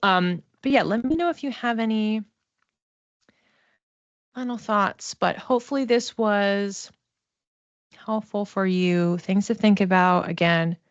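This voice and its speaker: A woman's voice: medium pitch, unhurried, pauses often, no room sound, lo-fi sound. A woman giving an informal spiritual card reading to one listener in a reassuring, conversational manner. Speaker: psychic oracle card reader and mentor.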